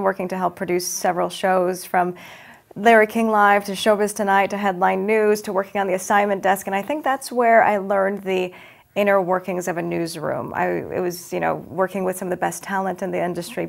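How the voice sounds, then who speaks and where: Guest TV anchor, female, young adult, Farsi native, chatty and upbeat, gravelly, mid pitch. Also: fairly even intonation, a little fast. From Los Angeles. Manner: upbeat, chatty, frequent ums. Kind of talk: interview answers.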